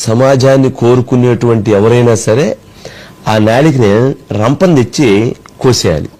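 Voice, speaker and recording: A man giving political commentary, phrasing things deliberately and emphatically with repeated rhetorical questions. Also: medium pitch, dark tone. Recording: clearly noisy background with clicks.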